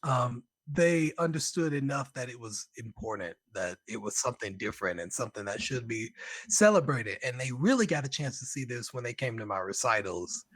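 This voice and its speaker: A man from Birmingham, Alabama, guesting on a podcast over a video call. He tells stories in an animated way, laughs often and jumps into excited quoted voices. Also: mid-range voice, normal speed, no echo. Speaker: guest, concert composer. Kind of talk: casual conversation.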